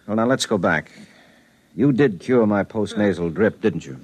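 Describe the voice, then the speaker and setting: A man's voice: lowish voice, expressive, normal speed, darkish tone, slightly rough. An actor playing a worried, skeptical married man in a 1950s radio drama, plainspoken and wry.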